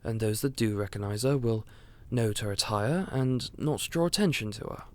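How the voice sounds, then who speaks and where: A man playing a devoted, courteous royal steward in a fantasy audio roleplay, speaking formally, politely and in a measured way. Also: fairly low pitch, natural voice. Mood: courteous, assured.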